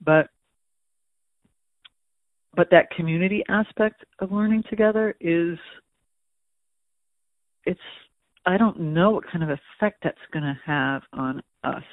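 A man's voice: fairly high pitch, a little slow, long pauses, dry recording. Hesitant man on a tinny line. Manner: thoughtful, hesitant, many restarts and fillers. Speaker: museum education staff. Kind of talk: conversational interview answer. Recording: tinny phone-like audio.

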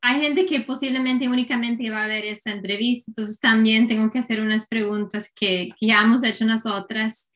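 A woman interviewer speaks in a slightly high voice. She is polite and formal, and she works through prepared questions with frequent fillers like "eh" and "ok.". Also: fairly even intonation, brisk, few pauses, fairly bright tone. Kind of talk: structured oral-history interview. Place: Connecticut, United States.

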